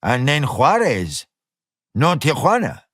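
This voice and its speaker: A man voicing a fat, blustering diner owner, mocking and loud. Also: medium pitch, huge pitch swings, takes it slowly, slightly rough, no echo, clean studio sound, exaggerated voice.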